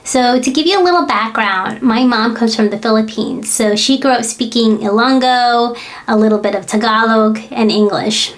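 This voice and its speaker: American woman, parent, channel host, slightly high voice, candid, reflective, lots of ums. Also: moderate pace. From United States. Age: adult parent. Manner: candid, reflective, with frequent fillers. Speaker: language-learning channel host. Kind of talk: personal talk to camera.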